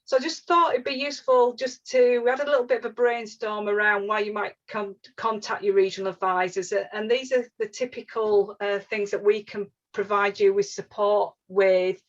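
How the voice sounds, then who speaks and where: A woman's voice: mid-range voice, steady tone, moderate pace, hardly any pauses. A woman with a Yorkshire accent, a regional advisor presenting a webinar, often repeating words and using fillers. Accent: Yorkshire English. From northern England. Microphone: video call. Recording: slightly dull lo-fi webinar audio.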